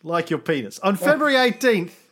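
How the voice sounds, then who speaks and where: A man's voice: high-pitched, very animated, brisk, fairly bright tone. A man hosting a history podcast in casual chat, swearing freely and drifting off on tangents before he gets back to the story. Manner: profane, wanders off on tangents. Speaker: podcast host narrating history.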